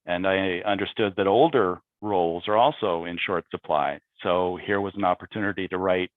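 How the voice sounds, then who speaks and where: A man with a low voice discusses his writing in a thoughtful, halting way. He sounds like a guest on a talkback panel, heard through thin, phone-like call audio with little room sound. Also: no echo. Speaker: playwright on a panel. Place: remote video call. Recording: phone-like narrow-band video call audio.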